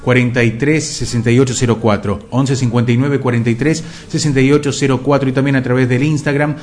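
A man's voice: medium pitch, fairly even intonation, quick pace, darkish tone. Upbeat Argentine man radio host. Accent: Argentine Spanish. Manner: upbeat, reading out messages and contact details. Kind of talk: radio announcements.

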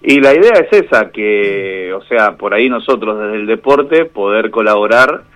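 A man with an Argentine Spanish accent, a boxing referee speaking as a guest over the phone on a radio show, measured and hesitant with many fillers. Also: on the low side, lively intonation, fairly bright tone. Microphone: phone line.

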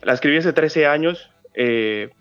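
A man's voice: on the high side, measured pace, a bit bright, dry recording, dull audio, soft background music. A man in his thirties from Colombia, a guest calling in to a radio show, chatting casually with many fillers and restarts. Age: 30s. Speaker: phone-in guest.

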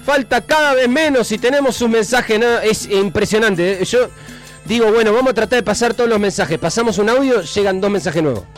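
A man with a Rioplatense accent hosting a live radio call-in show, joking and excitable as he reads listener messages. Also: high-pitched, a little fast, faint music behind. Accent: Rioplatense Spanish. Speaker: radio host.

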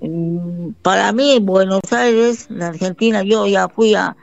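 A woman from Bolivia speaks slowly with a gravelly edge. Her phrases come in halting repeats, tender and affectionate. The sound is dull and dry. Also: mid-range voice, faint music behind. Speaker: caller. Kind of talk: phone-in conversation.

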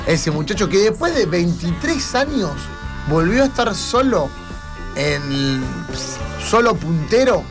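A man's voice: high voice, sing-song, takes it slowly. A man with an Argentine Rioplatense accent, hosting a radio show in a casual live chat style. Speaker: radio host. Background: music.

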